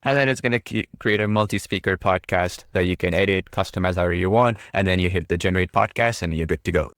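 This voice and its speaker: Deep-voiced man demoing software. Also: fast talker, dry recording, clean studio sound. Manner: upbeat, breezy, says "boom" often. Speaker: product demo host. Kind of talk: casual screen-recorded walkthrough.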